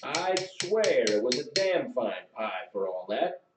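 A bull-sized, jovial farmer, played by a male narrator, booms out folksy teasing in a drawling, mischievous way. It is storybook reading in a roomy, noisy recording. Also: sing-song, slow. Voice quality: booming. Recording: roomy, distant mic, noisy with clicks.

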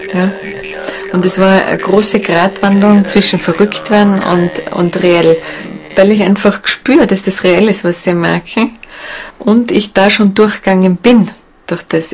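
A woman speaking informally in an interview, reflective, with halting phrasing. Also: medium pitch. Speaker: shamanic seminar leader, interviewee.

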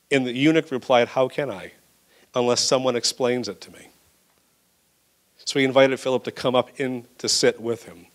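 A man preaching a church sermon, reading scripture aloud and then urging his congregation to serve others. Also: medium pitch. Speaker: pastor.

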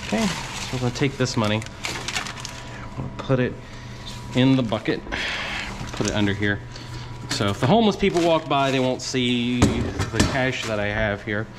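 Guy talking to the camera while he empties his laundromat machines, average voice, action cam mic, noisy with clicks. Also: mid-range voice. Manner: chatty, offhand running commentary. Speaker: laundromat owner filming a vlog. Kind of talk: casual vlog narration while working. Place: small indoor laundromat. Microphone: head or chest-mounted action camera.

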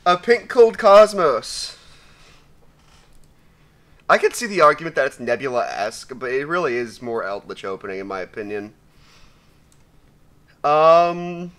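Young man, raspy and excitable, bright high-ish voice, profane gaming commentary over keyboard clicks. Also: unhurried, long pauses. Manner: excitable, profane, swings between mumbling and shouting. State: raspy from sneezing. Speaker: gaming YouTuber. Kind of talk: casual commentary while unboxing.

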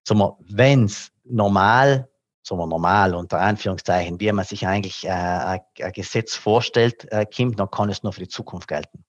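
A man's voice: lowish voice, normal speed, dry recording. A middle-aged man, a tax advisor, explaining things carefully over a headset video call, with many fillers. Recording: dull lo-fi call audio.

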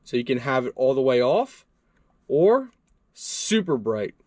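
Young adult man, mid-pitched, easygoing product-review delivery from a desk. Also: unhurried, no echo, clean studio sound. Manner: relaxed, conversational walkthrough with fillers. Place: indoor desk workspace.

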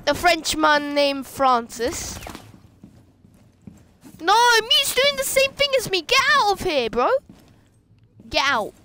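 A woman chatting and joking while playing a shooter game, getting loud and excited in fights. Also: high voice, slow pace, pauses often, slight background noise. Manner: chatty, jokey, shouts excitedly. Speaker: gameplay streamer. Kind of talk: casual gameplay chat.

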